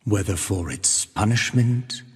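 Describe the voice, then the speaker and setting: A grave, devout penitent witness narrating a dark video game. His low voice is very husky and breathy, delivered slowly in archaic, portentous phrases that trail into long reverb. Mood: grave, foreboding. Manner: solemn, measured, portentous.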